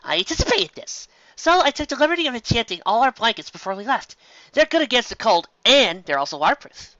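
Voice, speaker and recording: A man's voice: extremely high pitch, big pitch swings, fast, bright, forceful tone, dry recording, voice pushed up, full character voice. A man voicing a studious, practical, composed young female unicorn in a storybook reading, in dull audio. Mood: reassuring.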